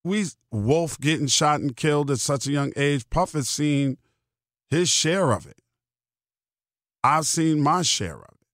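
A man's voice: on the high side, animated, unhurried, pauses often, a bit bright, dry recording. American man rambling casually, very dry sound. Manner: rambling, emphatic repetition, salty slang. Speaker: podcast host. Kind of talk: casual solo podcast monologue.

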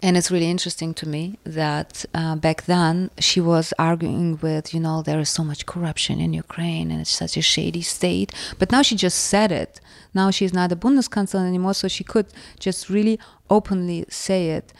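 A woman from the former Soviet Union, a native Russian speaker with a Russian accent, answering interview questions in a reflective way and often pausing to search for words. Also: fairly low pitch, little pitch movement, gravelly, no room sound. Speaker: author and interviewee.

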